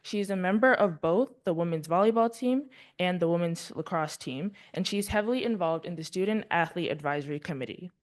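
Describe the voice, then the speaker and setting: A woman's voice: fairly low pitch, brisk. A woman introducing panelists at a university event, reading formal biographies with a few small stumbles.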